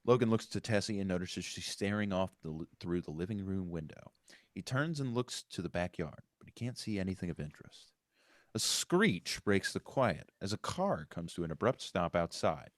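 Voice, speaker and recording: Male table-read narrator, deep voice, dry sound, reads scene directions with occasional slips. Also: lively intonation, moderate pace, no room sound. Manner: stumbles and corrects himself while reading. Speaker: narrator reading stage directions.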